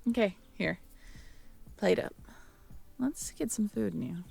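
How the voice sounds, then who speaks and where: A woman acting a caring, teasing, curious young girlfriend in an intimate roleplay audio drama, talking softly and conversationally to the listener. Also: medium pitch, expressive, unhurried, vocal fry, slightly rough, faint music behind, exaggerated voice. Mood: playful teasing. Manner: soft, intimate, conversational, playful.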